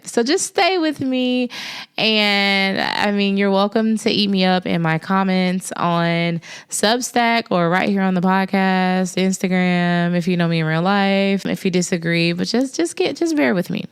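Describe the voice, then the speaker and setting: A young woman hosting a podcast, talking casually and personally with a raspy voice left over from an illness. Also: mid-range voice, measured pace. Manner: chatty, personal, self-deprecating. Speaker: podcast host. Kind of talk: casual solo podcast talk.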